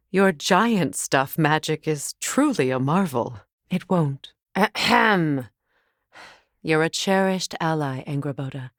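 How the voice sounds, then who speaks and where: A warrior goddess from a Norse fantasy video game, a proud woman with a slightly low voice. Her phrasing is formal and measured with a dry edge. The sound is studio-dry, with no room around it. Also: no echo. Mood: warm, sincere. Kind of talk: dramatic video game voice acting.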